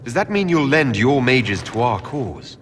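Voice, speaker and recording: Casting: composed game hero, mid-pitched, direct and businesslike, expressive rise and fall, faint background noise. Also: male voice, measured pace, long reverb tail. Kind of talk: video game dialogue acting.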